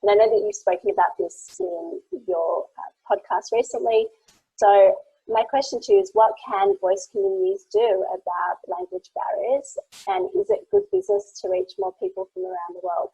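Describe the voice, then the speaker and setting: A woman's voice: medium pitch, sing-song, normal speed, vocal fry, mellow, slightly rough, no echo, poor audio. A woman moderating a webinar panel on a video call, enthusiastic and full of praise, with many fillers.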